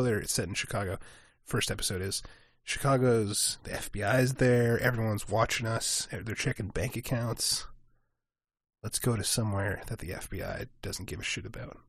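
A man co-hosting a podcast in casual chat, animated and acting out quick asides. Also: fairly low pitch, no echo. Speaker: podcast co-host.

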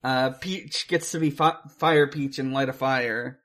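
A podcast co-host casually discussing a film in a halting, hesitant way, with casual swearing. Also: mid-range voice, a bit bright.

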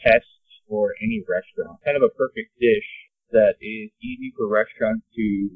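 A man from Hawaii who owns a cafe, speaking in a measured, thoughtful way in an interview. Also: medium pitch, big pitch swings, creaky, breathy edge, no echo. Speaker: cafe owner. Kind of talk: interview chat. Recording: phone-like line.

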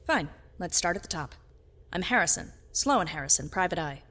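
An actress playing a private detective who narrates in a deadpan film noir style. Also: female voice, on the low side, normal speed. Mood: wry. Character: hard-boiled private eye narrator, wry and dry.

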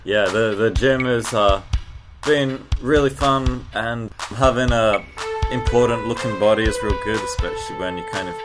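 Male podcast co-host, lowish voice, earnest rambling comic delivery over loud instrumental music. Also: normal speed.

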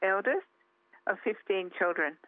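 A woman's voice: mid-range voice, lively intonation, unhurried, no room sound. Australian woman on a tinny phone line. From country Australia. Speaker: interviewee. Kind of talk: personal recollection.

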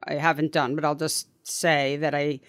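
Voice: medium pitch, level tone, moderate pace, smooth, no echo, clean studio sound. A podcast host chatting with a co-host and interviewing a guest, enthusiastic and chatty, with plenty of fillers and restarts. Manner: enthusiastic, warm-hearted, chatty with many fillers. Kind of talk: conversational podcast chat.

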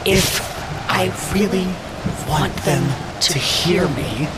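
An actor in a fan-dub audio drama voicing a coldly menacing young woman, deliberate and halting. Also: medium pitch, heavy background noise, close to an everyday voice. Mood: menacing, simmering. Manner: slow, deliberate, halting, ominous. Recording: rainstorm and thunder behind.

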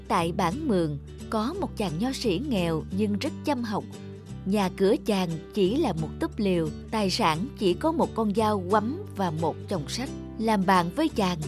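A woman reading scripted fairy-tale narration as a storyteller. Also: mid-range voice.

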